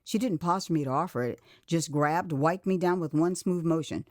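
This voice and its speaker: An American woman narrating a first-person memoir as audio drama, with an expressive, theatrical delivery. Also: sits low, moderate pace, no room sound, clean studio sound. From United States. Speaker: author and narrator.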